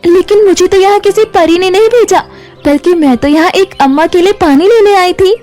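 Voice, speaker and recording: A woman's voice: extremely high pitch, normal speed, mellow, faint music behind, voice pushed up. A woman voicing a poor, kind-hearted young girl in a cartoon fairy tale, in an earnest, emotive way. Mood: puzzled.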